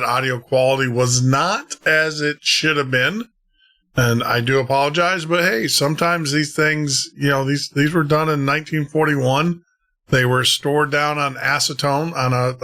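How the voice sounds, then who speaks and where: A man's voice: mid-range voice, sharp tone. A male podcast host talking casually, apologetic and chatty, laughing now and then. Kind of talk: casual chat.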